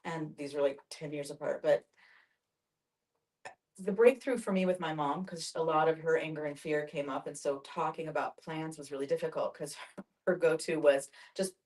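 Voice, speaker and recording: Low-voiced woman, distant from the mic. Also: fairly even intonation, moderate pace, a little mellow. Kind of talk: personal storytelling in a group discussion. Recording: distant mic, group discussion.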